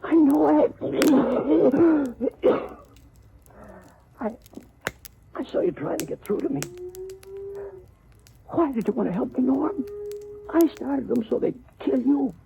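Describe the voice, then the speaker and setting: An actor playing a gravely injured, repentant cowboy in a 1950s radio western, weak and halting as he struggles to talk. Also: extremely high pitch, heavily acted. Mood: pained, pleading. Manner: halting, haltingly repeats phrases. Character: fatally injured cowboy, repentant brother and proud husband. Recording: muffled, noisy old radio recording.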